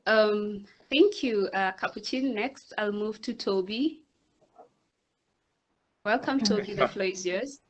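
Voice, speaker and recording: A woman from Kenya moderating an online panel over a video call, introducing speakers in a friendly, measured way with some fillers. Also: medium pitch, lo-fi sound, slight background noise.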